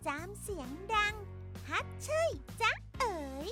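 A bubbly cartoon girl mascot hosts a children's story show, as a woman puts her on, her voice extremely high and chirpy. Bright children's music plays clearly behind her. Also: very animated, a little slow, full character voice. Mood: cheery. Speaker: children's channel host. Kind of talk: cheerful hosting of a kids' story show.

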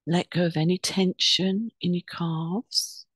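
A woman leading a guided meditation in a soothing, unhurried, instructing way with pauses between phrases. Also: fairly low pitch, fairly even intonation, fairly bright tone, no room sound.

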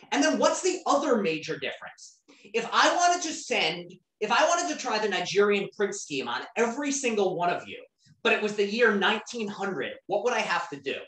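Woman teacher, mid-pitched, casual lecture peppered with questions to the class; distant mic, roomy. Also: a bit bright. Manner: conversational, keeps asking the class questions.

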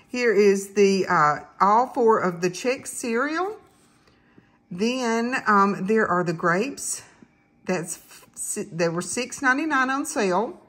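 Slow-talking woman, casual and halting. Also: mid-range voice, fairly bright tone. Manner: halting, rambling. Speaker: couponing vlogger. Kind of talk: casual chat to viewers. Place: indoors at home.

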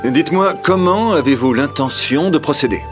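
A man playing a proud, anxious middle-aged king in an animated film, speaking in a stately, formal manner. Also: on the high side, normal speed, over music, natural voice. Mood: curious. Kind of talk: dramatic animated film dub.

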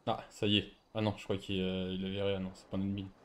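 A man's voice: low voice, monotone, fast. A man playing a civilian in an online roleplay game, arguing sarcastically and commenting casually as he streams. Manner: argumentative, sarcastic.

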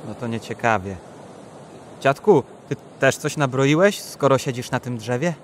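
A man's voice: mid-range voice, animated, moderate pace, a little hiss or hum, natural voice. An actor playing a bold, inquisitive little boy in a children's radio play, talking in an eager, childlike way. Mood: curious, eager. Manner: eager, childlike, full of questions.

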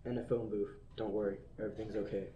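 A man's voice: on the low side, little pitch movement, fast talker, recorded from a distance, muffled recording, close to an everyday voice. A boy playing a cold, matter-of-fact hired killer on the phone. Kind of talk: student radio play acting.

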